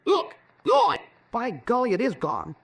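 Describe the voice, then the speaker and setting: A man acting in a 1940s radio drama as an excitable, jokey experimenter who talks in halting, colloquial announcements. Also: very high voice, creaky, husky, exaggerated voice. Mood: amazed. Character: excitable amateur inventor's helper, jokey reluctant announcer.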